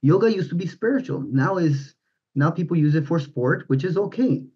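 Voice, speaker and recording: A man's voice: fairly high pitch, lively intonation, moderate pace, mellow, husky. A man in his thirties, a guest on a video call, talking earnestly in a rambling way with many restarts. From born in the United States, raised in India, Dubai and Pakistan. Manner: rambling, earnest, frequent restarts, gestures with hands. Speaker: guest, mind power teacher. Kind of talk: casual video-call interview. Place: home room with bright yellow walls and posters, indoors. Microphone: webcam on video call. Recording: dull lo-fi video call audio.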